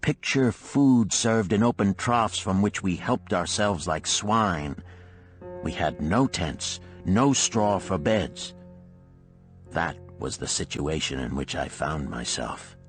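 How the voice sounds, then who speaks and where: Male audiobook narrator, low register, measured and solemn storytelling, faint music underneath. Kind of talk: scripted storybook narration of a parable.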